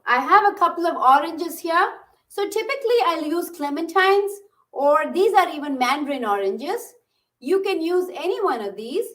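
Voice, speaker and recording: A woman from Bangalore explains a recipe step by step in a friendly way. Her voice is high and bright, and it is picked up by a mic set a little away from her. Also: expressive, moderate pace. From Bangalore, India. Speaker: cooking instructor. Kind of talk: casual cooking demonstration. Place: home kitchen. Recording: distant mic.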